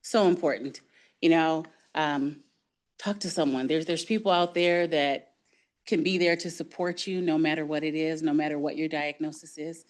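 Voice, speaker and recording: A woman's voice: low-pitched, steady tone, moderate pace. A woman moderating a health talk, speaking earnestly and encouragingly as she thanks the doctor and opens the floor to questions.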